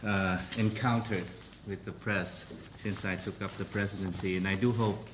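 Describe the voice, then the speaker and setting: Man, low voice, formal press briefing, halting delivery with fillers; slight room noise. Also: steady tone. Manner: hesitant, formal, many pauses and restarts. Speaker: council president briefing the press.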